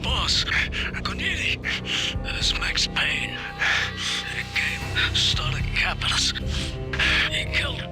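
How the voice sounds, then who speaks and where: A scared mob henchman in a noir game, gasping out slow words. His pitch is raised and swings widely as he fights for breath. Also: sing-song. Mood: terrified, gasping. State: struggling for breath. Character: frightened, gasping mob underling reporting to boss. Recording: music behind the voice. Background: music.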